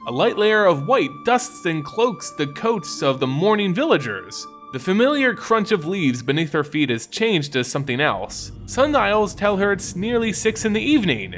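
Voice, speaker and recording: A male narrator reads a story with theatrical suspense. His fairly high voice rises and falls expressively, with deliberate phrasing and music underneath. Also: a bit bright. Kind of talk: scripted dramatic story narration.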